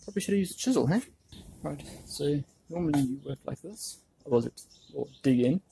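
A man's voice: fairly high pitch, expressive, a little fast, vocal fry, dark tone, rough recording. A man giving casual hands-on workshop commentary, informally thinking aloud as he works. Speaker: DIY video presenter. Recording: background noise, clicks and handling sounds.

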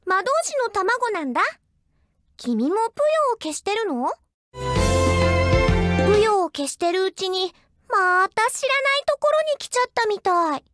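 A woman's voice: very high-pitched, lively intonation, measured pace, pauses often. A woman voicing a plucky, curious, tomboyish apprentice mage girl, breezy and confident, for an anime game. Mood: curious, eager. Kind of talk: anime game character voice clips. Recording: loud game music underneath.

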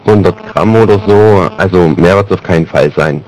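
A man's voice: sits low, moderate pace, a little mellow. A man making a prank phone call, chatting casually in a straight-faced, hesitant way. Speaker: prank caller. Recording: noisy amateur recording of phone prank.

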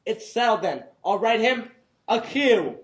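A man's voice: sits high, animated, a little slow. Young guy acting a dim suspicious villager, blunt n baffled, voice jumps around, roomy theatre stage sound. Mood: earnest, literal. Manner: baffled, blunt, rustic phrasing. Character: simple, suspicious, literal-minded illiterate male villager. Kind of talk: live comic stage play acting. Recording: roomy, echoey stage recording.

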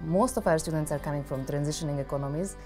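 A woman in her thirties with a notably low voice speaks earnestly about her business school. Faint music sits under her words. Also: fast talker, darkish tone. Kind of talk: promotional interview. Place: indoor lounge.

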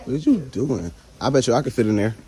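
A man's voice: mid-range voice, expressive, fast talker, dark tone. A young man bantering casually with friends in short interjections, recorded on a handheld camera in a large store.

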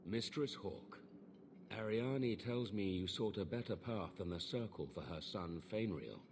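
An actor voicing an earnest, formal knight in a fantasy video game, speaking in a grave, measured manner. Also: male voice, fairly low pitch, expressive, darkish tone, a little hiss or hum. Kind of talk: scripted video game dialogue.

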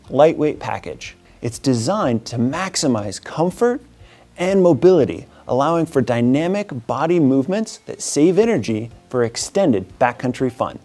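A man in his thirties, upbeat and steady, walking through product features in a scripted presentation. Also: medium pitch. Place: indoor studio with wooden wall.

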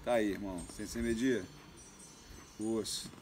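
A man's voice: fairly low pitch, steady tone, a little slow, a little mellow, slight background noise. A man hosting a casual outdoor chat, closing with short, friendly remarks. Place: garden outdoors.

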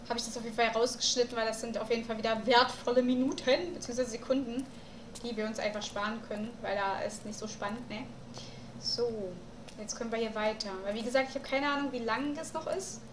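A young woman talking casually through a game at home, musing aloud as she works on puzzles. Her voice sits a little high. The recording is roomy, with a steady hiss of noise behind it. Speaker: let's player.

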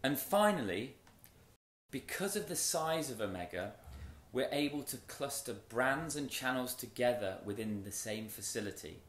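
A man giving a rehearsed product launch presentation in measured, emphatic short phrases with deliberate pauses, as a company presenter. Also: mid-range voice, animated, a bit bright, slight background noise. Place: stage in a large event tent with audience. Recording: roomy echo from event PA.

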